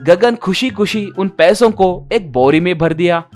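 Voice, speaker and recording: Animated, unhurried male storyteller. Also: high voice, faint music behind. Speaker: narrator. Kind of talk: scripted storytelling narration for a cartoon moral tale.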